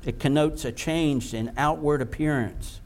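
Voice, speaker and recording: Slow, emphatic preacher man. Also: a little high, a little mellow. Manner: slow, emphatic, repeats words for stress. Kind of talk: sermon.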